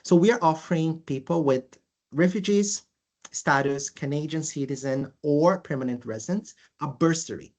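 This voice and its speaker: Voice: medium pitch, darkish tone. A presenter from Brazil with a Brazilian accent, walking through course details in a webinar, speaking with frequent uhs and restarts. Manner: halting, many filler uhs and restarts. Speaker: language school presenter.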